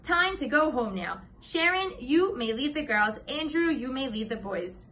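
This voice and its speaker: Woman reading as a female schoolteacher, brisk, orderly, politely firm, bright lively voice, children's storybook. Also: high voice, exaggerated voice. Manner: polite, firm classroom instructions.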